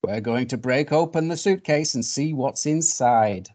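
A man's voice: medium pitch, measured pace, a little mellow, lightly acted. A man voicing a distrustful, bossy fox in a children's story, with emphatic delivery. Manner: emphatic, suspicious.

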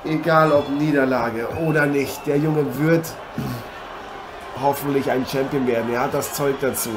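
A man's voice: medium pitch, steady tone, normal speed, lightly acted. A man playing an eager, agreeable boxing co-commentator who speaks emphatically and repeats his phrases. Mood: excited. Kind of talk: improvised live sports commentary. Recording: noisy ringside ambience. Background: background noise.

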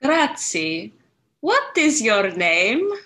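A woman's voice: on the high side, big pitch swings, very slow, a crowd in the background, full character voice. A woman playing a melodramatic, glamorous heiress with a put-on Russian accent in a comedy read. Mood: flirtatious. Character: sultry, melodramatic glamorous heiress in a parody film. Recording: distant voice, loud group laughter behind.

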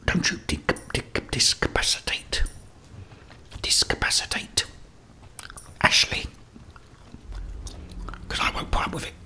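A man's voice: slow pace, pauses often, bright, forceful tone. A middle-aged man with an English accent whispering ASMR commentary as he plays a game, muttering reactions and talking to the characters. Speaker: gaming ASMR host.

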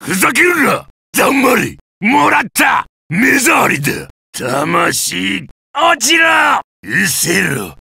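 A man voicing a brutal, sadistic giant golem warrior in a video game, with a rough, gravelly, coarse and taunting delivery. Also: high voice, wildly swooping pitch, very slow, sharp tone, no room sound, raised voice, studio quality, exaggerated voice. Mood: savage shouting. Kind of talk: video game battle voice lines.

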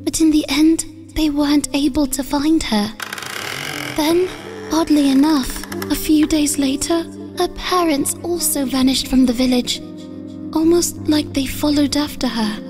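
A woman voicing a wistful, earnest young village girl who tells a sad tale in a soft, sorrowful way for a comic dub. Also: high-pitched, fairly even intonation, measured pace, music in the background. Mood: somber. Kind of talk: dramatic comic dub narration.

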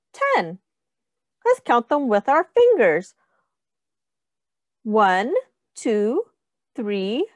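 A woman teacher reading a picture book aloud to young children, deliberately and instructively, asking questions and counting along. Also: fairly high pitch, dry recording.

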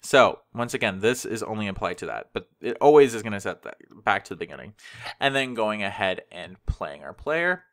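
A man's voice: lowish voice, very animated, fairly quick, fairly bright tone. A man presenting a coding tutorial in a casual, informal way, talking directly to the viewer as he walks through things. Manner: informal, addresses viewers directly, voices code logic as speech. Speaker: web development tutorial presenter. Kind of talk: casual screencast code walkthrough. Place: voice-over to a screen recording.

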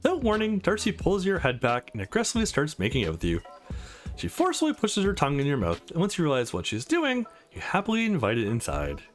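A man's voice: a little high, huge pitch swings, fast, faint music behind. A man reading a visual novel aloud as a let's play host, acting out dialogue playfully and adding asides.

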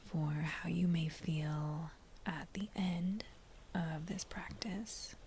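A woman leading a guided meditation in a gentle, breathy near-whisper, speaking in short unhurried phrases with pauses between them. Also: lowish voice, fairly even intonation, slight background noise. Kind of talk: slow guided meditation narration.

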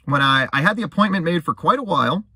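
Young male vlogger, conversational and rambling, bright-edged voice, dry sound from inside a car. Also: a little high, expressive, quick pace, slightly rough, dry recording. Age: young adult. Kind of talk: casual talk to camera.

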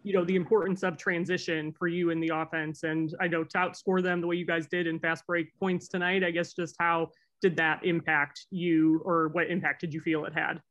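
A man's voice: on the high side, level tone, normal speed, fairly bright tone. A man working as a reporter, asking rambling questions with fillers at a press conference. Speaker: sports reporter.

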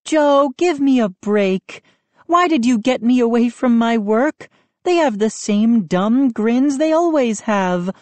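Woman doing an impatient sharp-tongued mom. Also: high voice, a little slow, few pauses, no echo, pitched above the performer's own voice. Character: impatient busy mother.

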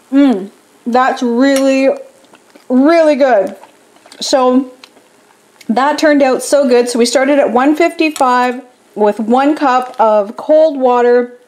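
A fairly high-voiced woman talks through a cooking experiment at her kitchen stove. She sounds chatty and upbeat, thinking aloud with easy ums and pauses. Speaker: home cooking vlogger. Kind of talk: casual cooking demonstration.

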